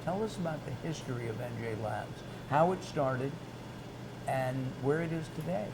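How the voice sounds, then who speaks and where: Male interviewer, clear background noise. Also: a little high, lively intonation, normal speed. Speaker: interviewer and series host. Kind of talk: hosted interview, conversational questions.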